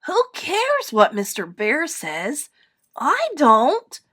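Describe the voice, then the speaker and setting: A woman's voice: mid-range voice, very animated, takes it slowly. A woman voicing a cheeky, defiant young boy bear from a storybook, bold and exclamatory.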